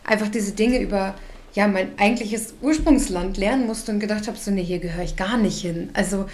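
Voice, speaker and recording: A woman's voice: mid-range voice, a little fast, darkish tone. A woman born in Australia and raised in Germany, telling an emphatic story with many fillers in a casual chat.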